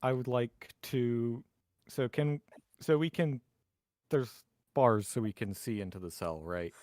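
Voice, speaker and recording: A man's voice: medium pitch, measured pace, a little mellow, no echo, studio quality. A man talking casually as a player in a tabletop roleplaying game.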